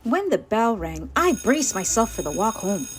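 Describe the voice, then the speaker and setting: A woman's voice: fairly high pitch, sing-song, normal speed, slight background noise. A woman narrating a children's storybook as host, with playful, exaggerated emphasis on descriptive words. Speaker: children's read-aloud host. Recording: music playing behind the voice. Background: music.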